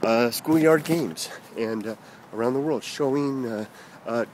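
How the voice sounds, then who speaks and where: American man, casual vlog chat, halting with lots of fillers, recorded outside, faint street noise. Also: medium pitch, darkish tone. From United States. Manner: halting, many fillers and restarts, chuckles. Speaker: vlogger answering viewer comments.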